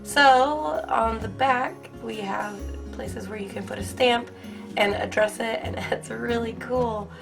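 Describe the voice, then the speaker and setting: A young woman hosting an art-supply unboxing video, hoarse from a cold and gushing with excitement in a casual, rambling way. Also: on the high side, slow pace, music in the background. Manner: bubbly, gushing, filler-heavy. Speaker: art channel host.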